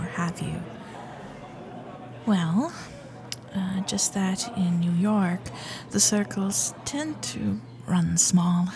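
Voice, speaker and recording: Woman playing a chatty, flustered young society heiress. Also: medium pitch. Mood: shy, admiring. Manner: flustered, hesitant, babbling, genteel and formal. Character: chatty, nervous young Gilded Age heiress, sweetly awkward, romantic. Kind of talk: romantic period audio roleplay, spoken intimately to listener.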